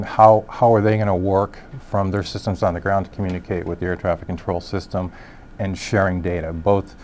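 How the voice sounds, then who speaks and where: A man giving explanatory interview answers as an industry expert, haltingly rephrasing as he goes. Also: low voice, a little mellow, a little hiss or hum. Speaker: industry expert, solutions director.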